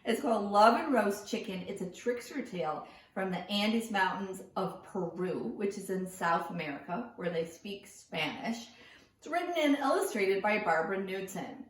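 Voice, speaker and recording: A woman librarian reading a picture book aloud to children, with lively, expressive storytelling. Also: mid-range voice, moderate pace, slight background noise, rough recording.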